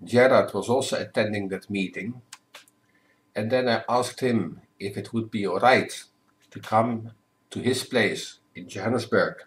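Low-voiced older man, measured. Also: poor audio. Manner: careful, measured, with self-corrections. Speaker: priest, guest. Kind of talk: relaxed interview answers. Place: home living room. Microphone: webcam at desk.

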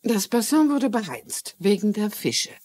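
Resolute, leicht heisere Ermittlerin. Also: female voice, mid-range voice, big pitch swings, unhurried, darkish tone. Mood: reasoning. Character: shrewd, self-assured middle-aged lady sleuth. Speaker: lead actress. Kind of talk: German-dubbed TV mystery dialogue.

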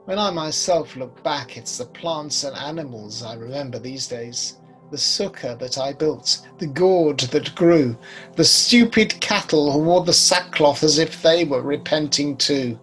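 An old British prophet acted by a man looks back on his life in a bitter, weary monologue. His voice sits fairly high for a man, measured and rueful, over music. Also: a bit bright, low-quality recording. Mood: bitter, reflective. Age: 60s. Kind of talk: dramatic monologue. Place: home study, video recording.

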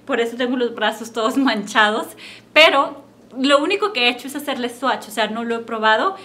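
A young woman with a Mexican accent, chatting casually to camera about makeup she bought, recorded at home in a bright room. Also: a little high, expressive, brisk. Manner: chatty and upbeat, friendly direct address. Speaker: beauty vlogger.

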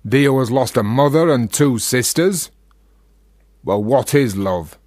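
A Yorkshire philosopher in a radio drama. He talks in rhetorical flourishes and teases as he provokes, in a mid-pitched voice recorded close and dry. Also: animated, a little slow, a little mellow, dry recording. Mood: teasing, provocative. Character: talkative Yorkshire freethinker, teasing and philosophising. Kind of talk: radio play acting.

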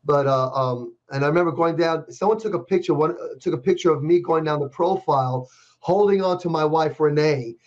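An older man hosting a casual interview over a video call from a dark room, rambling with frequent restarts. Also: fairly high pitch, a little fast. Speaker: podcast host. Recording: dull lo-fi video call audio.

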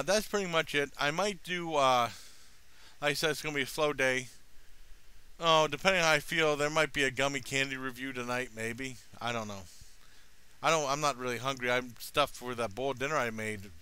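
American man, middle-aged, bright crisp voice, medium pitch, casual rambling vlog delivery, headset mic. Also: normal speed. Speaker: product reviewer, vlogger. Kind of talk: casual rambling review to camera. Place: small room at home.

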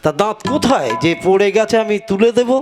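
A man's voice: sits high, animated, normal speed. A man voicing an exasperated quack dentist in a comic puppet show, exclaiming incredulously. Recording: loud music behind the voice.

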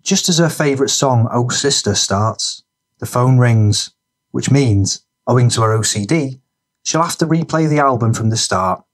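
A man's voice: mid-range voice, lively intonation, normal speed. A man reading scripted narration for a gritty crime fiction audiobook.

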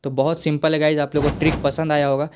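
Man, Indian accent, casual tutorial host, even monotone pitch, rapid, repetitive. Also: background noise. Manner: repetitive, addresses viewers as guys. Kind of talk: casual screen-recorded tutorial.

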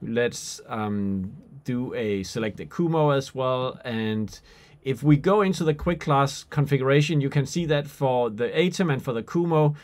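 Male tutorial presenter, 40s, mid-range voice; informal, hesitant, thinks out loud while demonstrating. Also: medium pitch, lively intonation, a little slow. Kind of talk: unscripted software and hardware demonstration. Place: small indoor room with skylight window.

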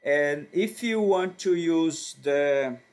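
A man whose first language is Portuguese narrates a software tutorial over his screen. He speaks slowly, in a slightly high voice, filling pauses and restarting sentences as he works through each step. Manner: slow, halting, with frequent fillers and restarts. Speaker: tutorial presenter.

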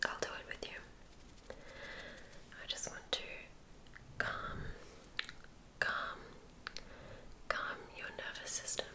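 A woman guiding ASMR relaxation in a breathy whisper, soothing and often repeating her phrases. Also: slow pace, long pauses. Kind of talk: ASMR sleep hypnosis.

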